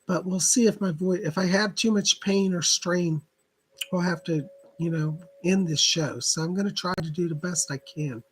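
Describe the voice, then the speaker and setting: Hoarse man with a husky, weak voice. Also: high voice, normal speed, fairly bright tone, low-quality recording. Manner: chatty, greets viewers by name, keeps clearing his throat. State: hoarse, recovering from laryngitis. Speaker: psychic hosting live readings. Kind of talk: casual live-stream chat.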